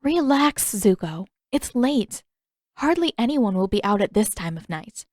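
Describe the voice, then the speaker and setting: A woman voicing a confident, teasing teenage girl in an audiobook-style story, with a brisk, playfully sarcastic manner. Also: medium pitch, big pitch swings, a little mellow, no echo, clean studio sound. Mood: teasing, light.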